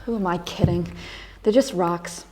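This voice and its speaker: A woman acting a down-to-earth, educated, gently sarcastic flatmate in a sitcom table read. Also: fairly low pitch, unhurried, natural voice, low-quality recording. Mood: matter-of-fact.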